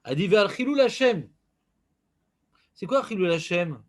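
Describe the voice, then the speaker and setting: High-pitched male teacher running a casual class, playful and teasing. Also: a little slow. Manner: joking, banters with students, animated. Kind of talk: informal Torah class with questions to students.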